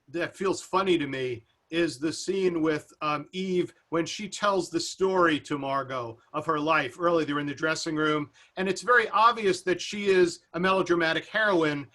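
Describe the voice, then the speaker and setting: A man with a high, bright voice talks as a panelist. He rambles conversationally, circling back and restarting as he works out his point. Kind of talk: casual discussion of acting and film. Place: indoors, online video seminar.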